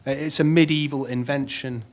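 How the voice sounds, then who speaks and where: A middle-aged man speaking on a conference panel in a measured way, pausing with frequent ums and restarting phrases. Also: mid-range voice, fairly even intonation.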